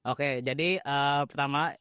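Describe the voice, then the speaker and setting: A young man, a student answering interview questions in a relaxed, easygoing way. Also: medium pitch, measured pace, smooth, dry recording, clean studio sound. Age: young adult. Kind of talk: casual group interview.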